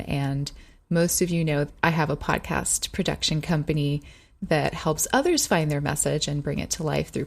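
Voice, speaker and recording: A woman hosting a podcast, speaking in an earnest, measured way, whose first language was Hungarian. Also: low voice, vocal fry. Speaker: podcast host. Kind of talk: podcast intro and interview.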